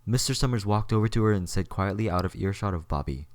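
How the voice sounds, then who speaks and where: A man with a deep voice narrates a story from a script. He serves as the narrator of an audio drama. The recording is close and dry, with no room sound. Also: lively intonation, fast talker, darkish tone, dry recording.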